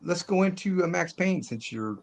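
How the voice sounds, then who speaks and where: A man's voice: high voice, expressive, normal speed, vocal fry, a little hiss or hum. A man hosting a discussion show in casual, informal chat with loose phrasing. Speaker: host of a movie discussion show.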